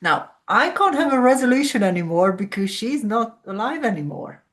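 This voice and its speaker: A woman's voice: fairly high pitch, big pitch swings, moderate pace. A woman from Hungary, a sleep coach explaining ideas reflectively as a podcast guest. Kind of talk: podcast conversation.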